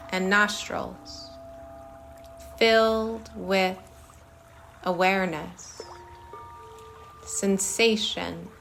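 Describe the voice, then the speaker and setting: Female meditation guide; soothing, unhurried delivery at a very slow pace with long gaps, over faint music. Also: mid-range voice, fairly bright tone. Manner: soothing, short phrases with long pauses. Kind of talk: guided meditation, body scan. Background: singing bowls.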